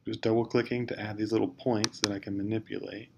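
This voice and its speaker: A man's voice: fairly low pitch, flat delivery, fast. A man narrating a casual instructional screencast, explaining step by step in an informal, hesitant way. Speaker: teacher giving software tutorial. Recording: clear background noise.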